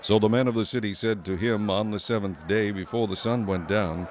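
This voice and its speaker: A man's voice: fairly low pitch, fairly even intonation, brisk, noisy background. A man narrating scripture as a scripted audio drama narrator.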